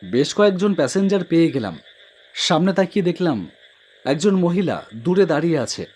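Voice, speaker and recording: A man's voice: on the high side, very animated, moderate pace, darkish tone, slight background noise. A man playing a humble, devoted young auto-rickshaw driver who tells his own story earnestly in a cartoon. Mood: reflective. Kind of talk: first-person cartoon horror story narration with dialogue.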